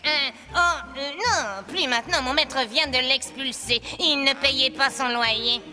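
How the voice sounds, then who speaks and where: A woman playing a sly, boastful talking cat with courtly, flattering manners in a French cartoon dub. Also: high-pitched, a little slow, full character voice. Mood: flustered, bluffing.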